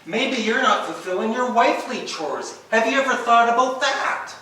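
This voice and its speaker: A man's voice: extremely high pitch, expressive, moderate pace, bright, forceful tone, heavily acted. A man rehearsing a stage play as a bewildered, exasperated middle-aged husband, theatrical and swinging between muttered disbelief and indignant outbursts. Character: exasperated, bewildered husband jealous of his wife's fantasy lover. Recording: distant, echoey room with background noise.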